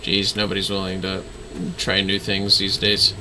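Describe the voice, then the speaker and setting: A man's voice: low-pitched, completely flat delivery, normal speed, sharp tone, a little hiss or hum, poor audio. A man chatting casually as a video game streamer, informal and often muttering to himself. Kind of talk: casual livestream gaming chat.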